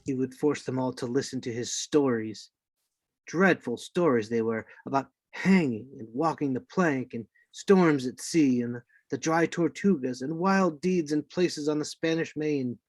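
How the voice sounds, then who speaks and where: A man playing a grave narrator recalling his boyhood adventure, with measured dramatic radio play delivery. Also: medium pitch, a little mellow, no room sound, close to an everyday voice. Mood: grave, reminiscent. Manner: measured, literary storytelling.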